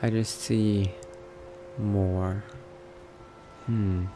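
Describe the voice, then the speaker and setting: A low-voiced man speaks very slowly, musing aloud as he acts out a newly turned vampire adventurer in a video game roleplay. His phrases trail off as he thinks things through, with noticeable background noise and ambience behind him. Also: flat delivery. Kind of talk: in-character gameplay narration, thinking aloud.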